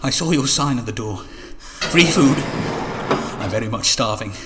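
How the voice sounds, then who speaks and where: Man as hungry, pushy visitor, lively delivery. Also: medium pitch, moderate pace, close to an everyday voice. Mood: eager, awkward. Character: hungry, naive but pushy male visitor. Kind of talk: audio drama acting. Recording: reverberant room with background noise.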